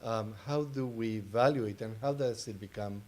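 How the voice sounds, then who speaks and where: A man's voice: on the low side, moderate pace, darkish tone. A man with an Argentine accent, a native Spanish speaker, speaking hesitantly and informally as a panelist. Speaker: panelist, art advisor. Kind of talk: informal panel talk, personal introduction.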